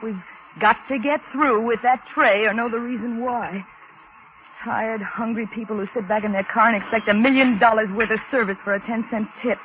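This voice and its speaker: An actress playing a weary, anxious young American car hop, confiding and wry, in 1940s radio suspense style. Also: female voice, fairly high pitch, fairly bright tone, close to an everyday voice. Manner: wry, confiding, working-class plain talk. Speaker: narrator and lead character. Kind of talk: 1940s radio suspense acting, first-person narration. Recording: noisy old 1940s radio recording.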